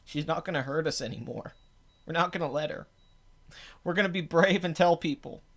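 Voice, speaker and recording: Male host, raspy and phlegmy, casual rambling commentary with blunt sarcastic asides. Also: on the high side, a little fast, fairly bright tone. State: clogged throat. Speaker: box office show host.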